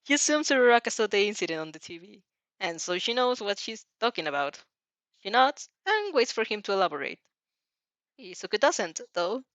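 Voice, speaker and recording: A woman reading a story aloud as narrator, dramatic and a little halting, stumbling over some words. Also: medium pitch, sing-song, fairly bright tone, dry recording. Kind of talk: expressive fan fiction read-aloud.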